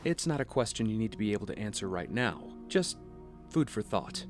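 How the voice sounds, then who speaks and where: An actor playing a gentle, wise, steady father in an audio drama, speaking in a measured, reassuring way. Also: on the low side. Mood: calm, instructive. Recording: music under the voice.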